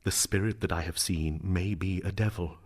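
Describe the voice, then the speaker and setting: A man playing a brooding, grieving young prince in a Shakespearean drama, with a theatrical, emphatic delivery that moves between hushed and declaiming. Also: deep voice, fairly even intonation, normal speed. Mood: calculating.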